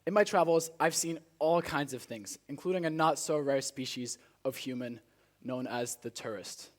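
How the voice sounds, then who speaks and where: Teenage male student speaker, medium pitch, earnest and rehearsed public-speaking delivery with deliberate phrasing. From Spain, born in South Africa. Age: teenager, high school student. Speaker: student speech contestant. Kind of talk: rehearsed motivational speech to a live audience.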